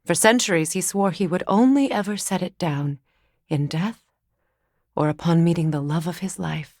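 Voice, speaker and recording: An actress playing a proud, mature Norse goddess in a video game, measured and formal in speech, wry and guarded. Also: female voice, lowish voice, a little mellow, dry recording, studio quality. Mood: reflective. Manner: measured, dignified, formal phrasing. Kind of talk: dramatic game dialogue and storytelling.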